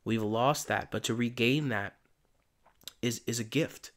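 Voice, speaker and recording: A man giving a casual spiritual tarot reading in a soft, meandering, reflective way, his voice tired and giving out. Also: mid-range voice, unhurried. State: voice giving out, tired by day's end. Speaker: tarot reader and channeler.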